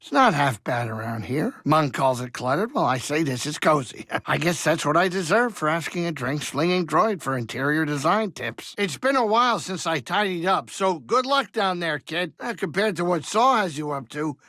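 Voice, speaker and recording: An actor playing a wisecracking older alien pilot and cook in a video game, grumbling and blustery but warm-hearted. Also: male voice, a little high, animated, normal speed, few pauses, dry recording, heavily acted. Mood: wry banter. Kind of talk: video game character acting.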